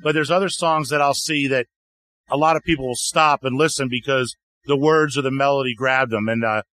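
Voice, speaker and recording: A man, a singer-songwriter guest, answering interview questions in a relaxed, chatty way full of "you know" fillers. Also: brisk, no echo. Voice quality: deeper voice. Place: outdoor music festival.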